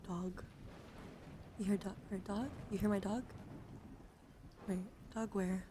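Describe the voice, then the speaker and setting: A woman hosting an ASMR livestream, talking softly and casually and often slipping into a whisper. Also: mid-range voice, flat delivery, slow pace. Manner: soft-spoken, often whispering, repeats soothing words. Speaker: livestream host. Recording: clearly noisy home microphone.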